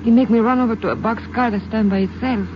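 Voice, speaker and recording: A woman's voice: fairly high pitch, steady tone, quick pace, close to an everyday voice. An actress as a hurt, frightened young Mexican woman. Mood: pained, frightened. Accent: Mexican Spanish. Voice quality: breathy, faltering with pain. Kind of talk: 1950s radio drama acting. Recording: muffled old radio broadcast with hiss.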